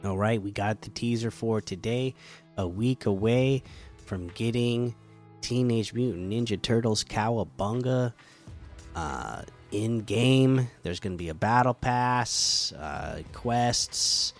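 Lowish guy with a cold doing a chill gaming podcast, lots of uhs, lil music behind. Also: takes it slowly. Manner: relaxed, chatty, many fillers. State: catching a cold, losing voice. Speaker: podcast host. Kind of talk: casual gaming podcast talk.

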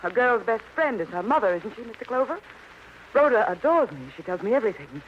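A woman's voice: a little high, sing-song, a little fast. An actress with an American accent playing a cool, sardonic dentist's wife and mother in an arch, theatrical old-time radio crime drama style. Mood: bitter, overwrought. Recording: muffled noisy old radio recording.

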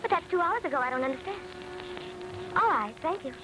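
An actress playing an anxious, secretive wife with breathless, dramatic delivery in old radio drama style. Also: female voice, very high voice, animated, a little fast, rough recording. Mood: worried. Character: anxious woman secretly covering up her husband's death. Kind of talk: 1940s radio acting.

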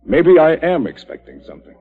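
An actor playing a cool, defiant doctor in 1940s radio drama style, with a deliberate, coldly needling delivery. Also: male voice, a little high, big pitch swings, gravelly, full character voice. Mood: cool defiance. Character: cool, defiant male doctor turning the tables. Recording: dull old 1940s radio recording.

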